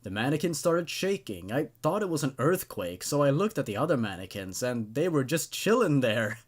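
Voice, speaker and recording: A man's voice: mid-range voice, very animated, moderate pace. A man hosting a horror story review show, commenting and reading aloud in a hesitant way, often repeating words as he thinks. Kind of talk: rambling commentary and reading of amateur horror stories.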